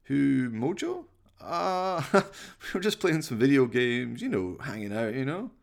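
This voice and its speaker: Man acting a hapless slacker guy, whiny, stammering, pleading, swooping pitch, gravelly edge, comic radio play. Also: very animated, a little slow. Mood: nervous, pleading. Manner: stammering, pleading, self-pitying.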